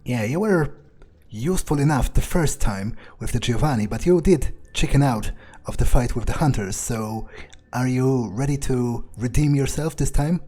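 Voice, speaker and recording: A man playing a husky, dark-voiced vengeful vampire. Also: mid-range voice, moderate pace, close to an everyday voice. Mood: grim, sardonic. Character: vengeful, sardonic male vampire, battle-hungry player character. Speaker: gameplay video creator. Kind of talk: in-character game roleplay.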